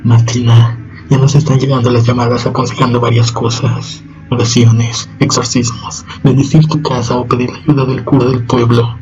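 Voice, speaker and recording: Slow ominous man hosting radio horror. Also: mid-range voice, flat delivery, muffled recording. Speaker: host.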